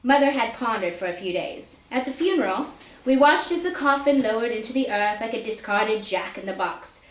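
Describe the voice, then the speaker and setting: A woman reading a story aloud at a live indoor reading, narrating expressively and deliberately. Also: on the high side, expressive. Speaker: author reading own fiction aloud. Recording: echoey room live reading.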